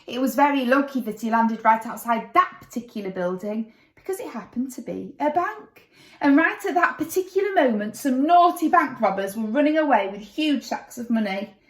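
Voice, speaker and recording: A woman's voice: fairly high pitch, expressive, moderate pace. A woman reading a children's story expressively, with dramatic, playful narration, recorded in an echoey room. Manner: dramatic, playful with sound effects.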